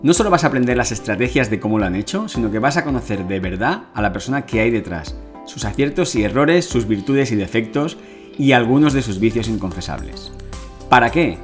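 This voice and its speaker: A man's voice: medium pitch, expressive, brisk, faint music behind. A man hosting a podcast, addressing listeners and guest in an informal, direct way. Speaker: podcast host. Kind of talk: scripted podcast intro and casual interviewing.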